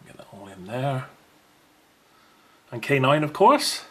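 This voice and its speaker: A man's voice: medium pitch, big pitch swings, slow, fairly bright tone, slightly rough. A man presenting an unboxing video in a casual, unscripted way, chatty and rambling as he thinks aloud.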